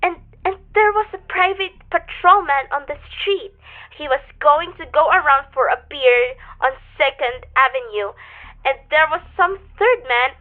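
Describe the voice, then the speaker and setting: A woman's voice: high-pitched, phone-quality sound. A woman playing an agitated, imperious married woman in a radio play, with a demanding, indignant, melodramatic delivery. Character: agitated, imperious married woman reporting an overheard murder plot.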